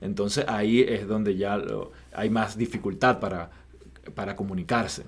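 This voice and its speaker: A man hosting an interview, asking questions and explaining in a measured way. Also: on the low side, husky. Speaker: host, interviewer.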